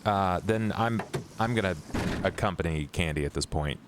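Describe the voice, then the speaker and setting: A man talking casually as a player in a tabletop roleplay podcast, halting and repeating words. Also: sits low, background noise. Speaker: player in an actual-play podcast.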